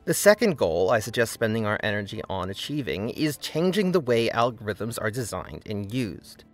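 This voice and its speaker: Guy reading his video essay, earnest, making his case, soft music under it. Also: fairly low pitch, very animated, moderate pace. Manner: earnest, argumentative. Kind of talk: scripted video essay narration.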